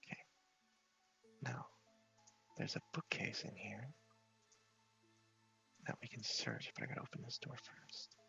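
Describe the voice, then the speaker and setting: A man giving soft, half-whispered ASMR commentary on a game playthrough, murmuring to himself and reading text aloud in an unhurried way. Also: medium pitch, big pitch swings, gravelly, lo-fi sound, soft background music. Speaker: game streamer, video host.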